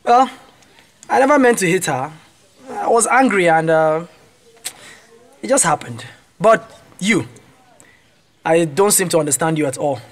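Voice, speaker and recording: A man's voice: on the high side, lively intonation, measured pace, long pauses. A man with a Nigerian accent playing a cocky, taunting young campus bully in a radio drama. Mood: mocking.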